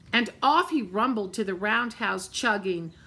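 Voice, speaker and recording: A woman reading a picture book aloud to children, stopping to point out the pictures with gentle asides. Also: mid-range voice, lively intonation, slow pace, creaky, slight background noise. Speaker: storyteller.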